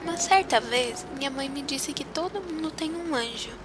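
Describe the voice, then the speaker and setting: A woman's voice: high-pitched, moderate pace, over music, lightly acted. A woman playing a wistful, grieving young girl in an audio drama, speaking in a reflective, confiding way. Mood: wistful, somber.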